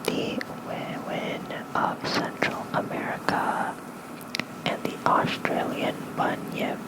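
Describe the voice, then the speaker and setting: An ASMR host reading lore in a soft, breathy whisper into a close microphone, unhurried and halting, with informal asides. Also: low-quality recording. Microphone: close fluffy-covered ASMR microphone.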